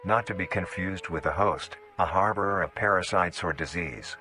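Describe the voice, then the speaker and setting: A man reads scripted documentary narration in a measured, instructional way, like the narrator of a nature film. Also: low-pitched, pushed and bright. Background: background music.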